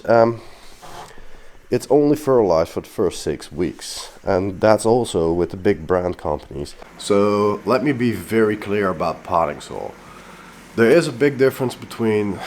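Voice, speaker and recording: A man's voice: low-pitched, a little mellow, a little hiss or hum. A man from the Netherlands with a Dutch accent, speaking English as a second language, frankly explaining how he grows peppers in a casual vlog. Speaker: pepper grower and fertilizer maker.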